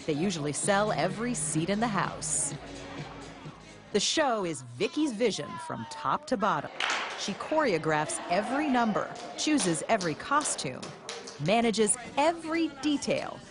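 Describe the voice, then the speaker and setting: Lively woman narrating a news feature. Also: medium pitch, measured pace. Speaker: news correspondent. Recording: music bed underneath.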